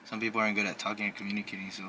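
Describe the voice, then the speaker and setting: A man's voice: on the low side, flat delivery, rapid-fire, sharp tone. A man chatting casually with friends in informal, slangy speech. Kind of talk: casual group chat. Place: inside a car. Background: faint vehicle noise.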